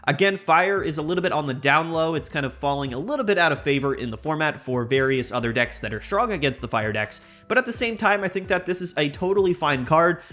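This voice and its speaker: Fast-talking young man, sick and sniffly. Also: on the high side, expressive, pushed and bright, faint music behind. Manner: rapid, enthusiastic, many fillers. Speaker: channel host. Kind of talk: energetic game tier-list commentary.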